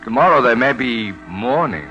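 An actor playing a suave, steady detective in a 1940s radio crime drama. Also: male voice, medium pitch, normal speed, lo-fi sound, soft background music. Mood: flirtatious.

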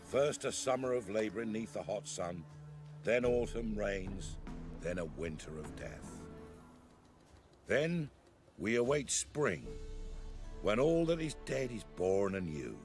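Casting: reverent village elder, lowish voice with animated rise and fall, archaic speech, fantasy game. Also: male voice, slow pace, pauses often, faint music behind. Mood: solemn. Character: solemn, devout male village peasant elder. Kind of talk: fantasy video game acting.

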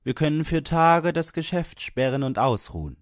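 A man's voice: a little high, expressive, normal speed, no echo, studio quality, pitched above the performer's own voice, natural voice. A man voicing a coal dealer's stern wife in an audiobook story, firm, scolding and dismissive.

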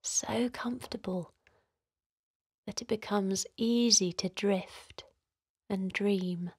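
A woman gently guiding a children's relaxation in a soothing, encouraging way, her voice softly breathy and hushed at times. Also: medium pitch, fairly even intonation, slow, no room sound, studio quality. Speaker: host of children's guided relaxation. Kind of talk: guided meditation narration for children.

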